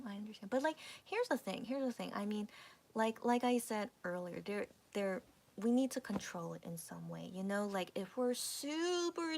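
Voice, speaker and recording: Chatty woman in her thirties. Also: mid-range voice. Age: 30s. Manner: rambling, many restarts and fillers, drops to whispers. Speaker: livestream host. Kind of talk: casual chat with viewers.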